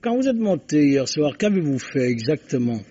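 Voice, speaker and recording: An actor playing a shrewd, courteous police commissioner who questions suspects formally in a radio mystery. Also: male voice, on the high side, sing-song, moderate pace, dark tone. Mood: inquisitive. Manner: formal, probing, polite. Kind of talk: French radio mystery drama acting. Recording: dull, band-limited radio drama recording.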